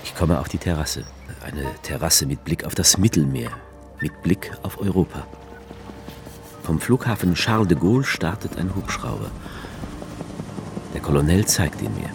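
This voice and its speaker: An actor playing a brooding, sardonic author who narrates a radio play in the first person. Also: male voice, low voice, animated, moderate pace, gravelly, long pauses, over music. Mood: observing, sardonic. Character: brooding male narrator-author, sensual, darkly sardonic witness.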